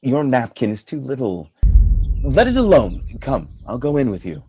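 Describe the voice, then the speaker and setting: An actor playing a noble general sliding into anguished jealousy, declaiming Shakespearean verse for a radio play in a husky voice. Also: male voice, mid-range voice, wildly swooping pitch, moderate pace, warm tone, lo-fi sound, a little hiss or hum. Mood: subdued. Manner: theatrical, declamatory verse delivery.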